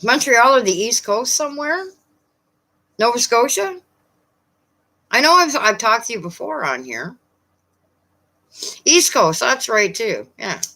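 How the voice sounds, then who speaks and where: Newfoundland woman chatting slowly, lively pitch. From Newfoundland, Canada. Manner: chatty, hesitant, many fillers. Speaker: paranormal live streamer. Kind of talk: casual live-stream chat with viewers.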